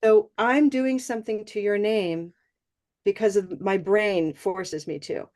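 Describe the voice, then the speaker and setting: A woman's voice: mid-range voice, a little slow, darkish tone. A woman teaching English pronunciation in an online class, encouraging and laughing often.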